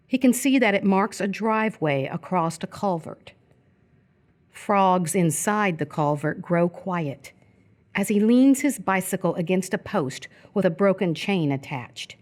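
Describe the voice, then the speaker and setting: Low-voiced woman narrating radio theatre. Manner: measured, descriptive reading.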